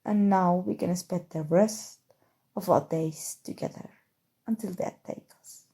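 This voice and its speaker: A woman playing a possessive evil sorceress in an ASMR roleplay, speaking softly and tenderly with a breathy voice that often drops to whispers. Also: fairly low pitch. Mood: possessive, ominous. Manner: slow, soothing, deliberate, sinister affection. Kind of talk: ASMR roleplay, intimate scripted monologue.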